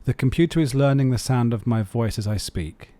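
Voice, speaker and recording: Male review narrator for video, relaxed warm tone, close dry recording. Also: mid-range voice, a little fast, no room sound. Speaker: flight sim video host, reviewer. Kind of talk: scripted product review narration.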